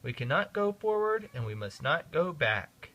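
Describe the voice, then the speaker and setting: A man doing an urgent, loud train driver. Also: a little high, sing-song, moderate pace, dull audio, a little hiss or hum. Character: worried male train driver, adult. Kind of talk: storybook reading aloud.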